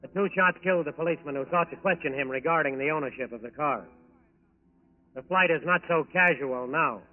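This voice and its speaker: An American man narrating a scripted 1940s radio crime drama in a dramatic, measured way. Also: a little high. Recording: thin phone-like 1940s radio recording.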